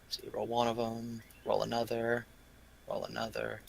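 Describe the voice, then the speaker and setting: A man's voice: medium pitch, monotone, moderate pace, vocal fry, slightly rough. A man playing a tabletop game, chatting casually and thinking aloud as he goes.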